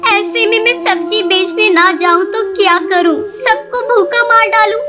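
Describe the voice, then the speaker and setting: Very high-pitched earnest young girl bird, voiced by a woman. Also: a little slow, heavy background noise. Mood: earnest, pleading. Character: dutiful, determined young female bird, daughter and daughter-in-law. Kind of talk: Hindi cartoon character dialogue.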